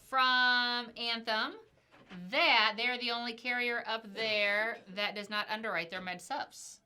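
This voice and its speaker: A woman's voice: a little high, pushed and bright. A woman co-hosting an insurance news show, talking in an upbeat, folksy way. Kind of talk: casual chat.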